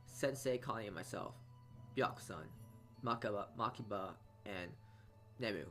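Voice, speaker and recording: A man's voice: fairly low pitch, a bit bright. A man reading a visual novel's narration aloud and casually commenting, often stumbling over words. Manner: halting reader, often stumbles and repeats words. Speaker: video game let's player. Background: game music.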